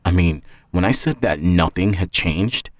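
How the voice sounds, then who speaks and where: A man's voice: low-pitched, unhurried, no echo, clean studio sound. A man reading a scripted first-person dramatic story as its author and narrator. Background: music.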